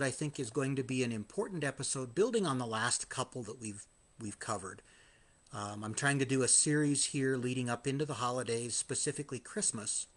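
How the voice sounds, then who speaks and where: A man hosting his own live podcast, a little hoarse after hours of singing, talking in a chatty, self-deprecating way with frequent restarts. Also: medium pitch, a bit bright. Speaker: podcast host. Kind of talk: live-streamed casual monologue.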